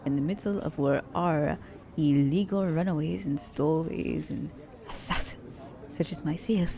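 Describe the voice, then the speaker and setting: Low, mellow teasing assassin played by a woman. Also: a little slow, gravelly. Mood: calm, explaining. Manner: formal, slightly stilted wording, teasing. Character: poised, teasing, condescending female assassin doting on captive werewolf. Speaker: voice actor. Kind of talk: ASMR romance roleplay.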